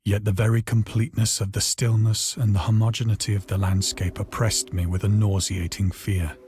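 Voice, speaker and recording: An actor playing a grave, haunted stranded man recounting a cosmic horror ordeal in formal, measured narration for a game. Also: on the low side, flat delivery, darkish tone, close to an everyday voice. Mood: grim dread. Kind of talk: scripted dramatic first-person horror narration. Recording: voice over prominent background music.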